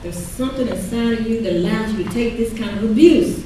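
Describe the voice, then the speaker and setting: A woman acting as an earnest, emphatic wife or friend in a stage drama, in a high voice. The sound is distant and very echoey, with music behind. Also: a little fast, natural voice. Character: women in emotional confrontation over abusive marriage. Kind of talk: live stage play acting.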